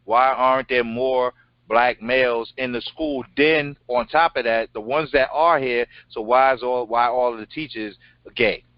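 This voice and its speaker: A middle-aged man co-hosting an interview, talking bluntly and casually, with a deep voice and some swearing. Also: moderate pace, dry recording. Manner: blunt, animated, swears freely. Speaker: co-host. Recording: dull lo-fi sound.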